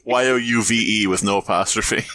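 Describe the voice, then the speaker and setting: A man's voice: lowish voice, fairly even intonation, moderate pace, bright, forceful tone. A man co-hosting a podcast with irreverent, giggly, playful quips in casual comedic banter. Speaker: podcast co-host.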